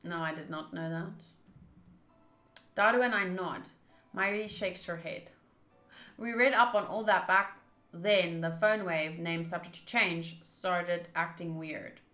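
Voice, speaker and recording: A woman's voice: on the low side, unhurried, pushed and bright. A young woman game streamer reading game dialogue aloud and adding playful, self-mocking casual commentary. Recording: roomy sound over game music. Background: faint game music.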